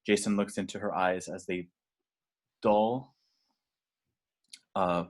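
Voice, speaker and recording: A man with a low, deep voice narrates action from a screenplay. He reads deliberately and dramatically, as the stage directions reader of a table read. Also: steady tone.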